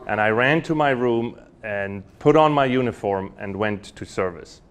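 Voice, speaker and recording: A man's voice: lowish voice, lively intonation, normal speed, smooth. A man, telling personal stories at a university talk, chatty and full of fillers and restarts. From Switzerland. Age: 40s. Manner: warm anecdotal storytelling with frequent fillers and restarts. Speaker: guest speaker. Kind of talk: storytelling lecture. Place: university lecture hall.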